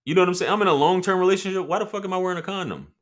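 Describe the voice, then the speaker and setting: A man with a deep voice hosting a casual livestream, reading chat comments and talking loosely with profanity and laughing asides. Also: racing, fairly bright tone.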